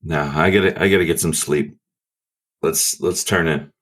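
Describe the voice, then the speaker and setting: Very deep-voiced wry man. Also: steady tone, moderate pace, fairly bright tone. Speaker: player. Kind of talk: casual tabletop play.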